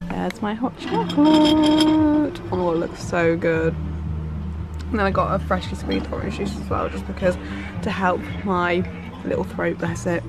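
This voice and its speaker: Slightly hoarse young woman vlogging. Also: on the low side, unhurried, loud music behind the voice. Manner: chatty, lots of "like" fillers. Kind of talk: casual vlog chat. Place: car, then café bus. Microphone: handheld camera at arm's length.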